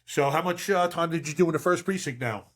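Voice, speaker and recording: A man's voice: a little high, fast talker, fairly bright tone. Blunt, streetwise older man giving advice. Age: 50s or older. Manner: blunt, streetwise, emphatic, mild swearing. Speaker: podcast host, veteran police officer. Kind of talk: casual conversational advice.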